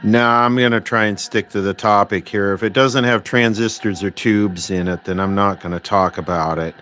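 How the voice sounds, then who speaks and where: A man hosting a casual livestream about electronics repair, thinking aloud in a halting, rambling way with dry, wry remarks. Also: lowish voice. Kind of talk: casual unscripted livestream chat, reading and answering viewer requests. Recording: clear steady background noise.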